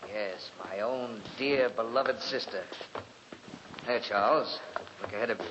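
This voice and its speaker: A man's voice: fairly low pitch, measured pace, lightly acted. Man acting imperious, brooding gothic lord, old radio. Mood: brooding, morbid. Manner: imperious, clipped, morbidly brooding. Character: cold, domineering lord of a decaying house. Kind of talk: 1940s radio horror acting. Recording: muffled, noisy, cavernous 1940s radio recording.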